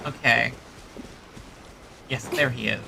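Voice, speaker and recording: A man giving casual live commentary as a game streamer, reacting with drawn-out interjections. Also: medium pitch, steady tone, gravelly, noisy background. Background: game music.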